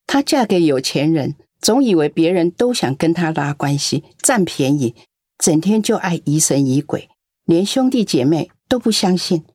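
A woman's voice: deep voice, very animated, moderate pace, darkish tone, breathy edge, no room sound, clean studio sound, exaggerated voice. An actress playing a lonely, doting elderly grandmother in a radio drama. She talks in a coaxing, chatty way and coughs now and then. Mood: affectionate. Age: older.

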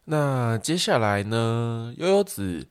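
A man's voice: mid-range voice, big pitch swings, takes it slowly, smooth. A man with a Taiwanese accent hosting a podcast, explaining things in a casual way. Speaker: podcast host.

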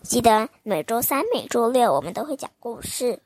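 A young woman reading a fairy tale aloud to children in a gentle, sing-song, child-directed manner. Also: a little high, expressive, moderate pace, no echo. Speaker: children's storyteller host.

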